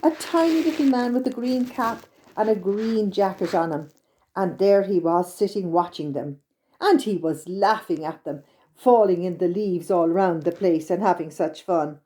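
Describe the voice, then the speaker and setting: A woman's voice: fairly low pitch, normal speed, mellow. A woman with an Irish accent narrating a children's storytime expressively, exclaiming and repeating things for young listeners.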